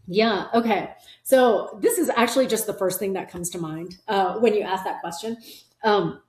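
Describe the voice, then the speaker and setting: A middle-aged woman whose first language is Korean, speaking as a company leader in an interview, earnest and measured, often affirming with "yeah". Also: medium pitch, darkish tone. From South Korea, raised in Arkansas. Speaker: company CEO, podcast guest. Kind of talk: reflective conversational interview. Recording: roomy echo.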